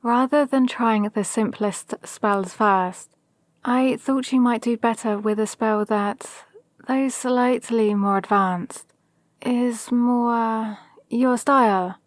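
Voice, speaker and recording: A woman playing a calm, possibly mad villain sorceress in an ASMR roleplay, speaking to an apprentice in a measured, condescending, gently dominant way. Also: a little high, no room sound. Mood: patient, instructing. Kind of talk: ASMR roleplay with whispered asides. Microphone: close, dry microphone.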